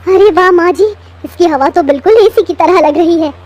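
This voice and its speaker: A woman's voice: very high voice, normal speed, darkish tone. A woman voicing a practical, caring young wife in a children's cartoon, supportive and earnest. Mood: amazed. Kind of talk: Hindi children's cartoon story voice acting.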